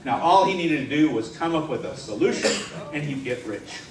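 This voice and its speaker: A man telling a comic tall tale on stage in a theatrical, mock-serious way with dramatic pauses. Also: fairly high pitch, animated, a crowd in the background. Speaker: humorous contest speaker. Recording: roomy hall, distant mic, faint noise.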